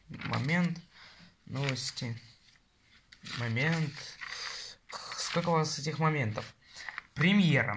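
A man's voice: medium pitch, background noise. A man casually reviewing a car magazine at home, rambling, grumbling and talking to his viewers. He records himself on a phone held close over his desk.

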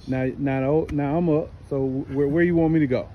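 A middle-aged man talks his way through each shot in a relaxed, chatty manner, his voice sitting slightly high. He is outdoors on a wooded range, caught by a phone camera a few feet off. Faint open-air ambience sits behind him. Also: normal speed, narrow, tinny audio, slight background noise. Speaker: father shooting archery. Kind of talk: casual outdoor vlog talk.

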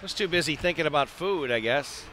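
A man's voice: on the high side, lively intonation, a little fast, bright, forceful tone, a little hiss or hum. A man doing live play-by-play hockey commentary in clipped phrases with chatty asides. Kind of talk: live radio-style sports commentary. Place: indoor ice arena.

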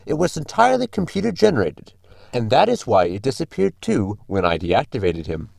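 A logical, fussy robot crewmate acted by a man in a sci-fi audio comedy. The male voice is very creaky and lively, with precise, formal phrasing and a dry, close sound. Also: medium pitch, fairly quick, no echo, full character voice. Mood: matter-of-fact. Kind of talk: science fiction comedy audio drama.

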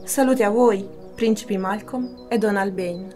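A woman's voice: medium pitch, measured pace, mellow, soft background music, natural voice. An actress playing an ambitious, scheming, commanding noblewoman in an Italian radio drama, theatrical and formal. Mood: gracious, deferential.

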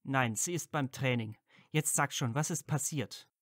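A man's voice: mid-range voice, measured pace, fairly bright tone, dry recording, studio quality. A man playing an earnest, loyal, easily flustered young wizard friend in an audio drama. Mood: concerned. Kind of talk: fan fiction audio drama dialogue.

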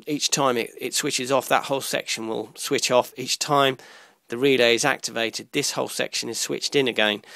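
A man presenting an informal electronics tutorial, explaining step by step in a halting way, pausing mid-sentence. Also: medium pitch, vocal fry, bright, forceful tone, no room sound.

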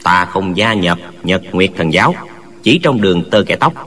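Male actor as young wuxia swordsman, lowish pitch, bright firm tone, courteous, dutiful, wry, audiobook drama. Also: animated, moderate pace. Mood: brooding inner thought. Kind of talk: audiobook drama of a martial arts novel.

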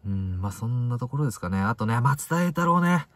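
A man's voice: medium pitch, lively intonation, fairly quick, a bit bright, a little hiss or hum. A man in his twenties giving casual solo football commentary, stressing the starts of phrases and then trailing off. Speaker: football fan vlogger. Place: inside a parked car.

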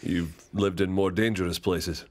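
Radio soap handyman: casual, unworried, fairly low voice. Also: fairly even intonation, unhurried, darkish tone. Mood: easygoing.

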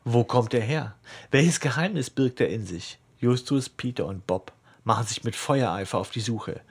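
A man's voice: mid-range voice, animated, moderate pace, breathy edge. A man with a mid-range voice co-hosts a casual podcast. He talks in a conversational way, as if among fellow fans at the table. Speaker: podcast co-host. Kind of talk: casual podcast discussion with some reading aloud.

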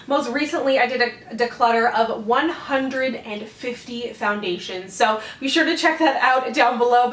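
Chatty woman vlogger with a stuffy nose. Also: a little high. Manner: chatty, upbeat, product-focused. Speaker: beauty vlogger. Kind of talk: casual product review. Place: bright room at home, indoors.

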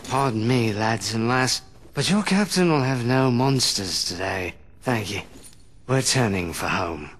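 An actor playing a sly, swaggering, flippant pirate captain in a video game, with a theatrical, wry delivery. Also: male voice, expressive, unhurried, fairly bright tone. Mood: dismissive. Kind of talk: video game cutscene acting. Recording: noisy game capture with rumbling ambience.

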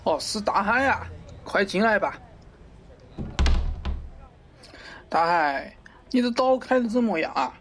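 A man's voice: high-pitched, animated, normal speed, fairly bright tone, slight background noise. A man playing a pompous middle-aged wholesale market director in a parody radio drama, avuncular and lecturing, crude yet fond of poetry. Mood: cordial. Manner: avuncular, lecturing, theatrical, abruptly swearing.

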